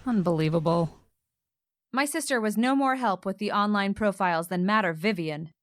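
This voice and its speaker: A woman's voice: mid-range voice, normal speed, natural voice. A woman playing a picky, exasperated single attorney in a radio comedy. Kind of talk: contemporary radio play acting.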